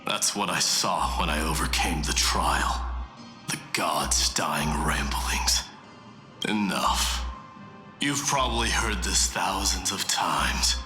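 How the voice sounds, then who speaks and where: A haughty, honorable king of war for a fantasy game. His delivery is forceful and swings widely in pitch, scornful and commanding, echoing over faint music. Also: expressive, heavily acted. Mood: proud, solemn. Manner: imperious, deliberate, taunting. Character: proud warrior king, demigod of strife, scornful yet honorable. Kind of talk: dramatic video game voice acting. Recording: echoey room sound.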